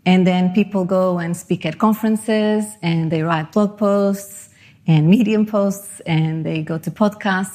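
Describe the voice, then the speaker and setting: A woman's voice: on the low side, a little mellow. A middle-aged woman from Portugal and Panama giving a conversational, self-deprecating conference talk. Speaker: conference speaker.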